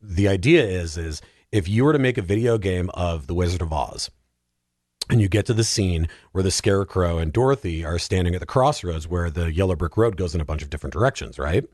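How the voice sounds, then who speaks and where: A man's voice: low-pitched, expressive, a little fast, darkish tone, dry recording, clean studio sound. A man in a casual podcast discussion, explaining things in an earnest, emphatic way as a guest.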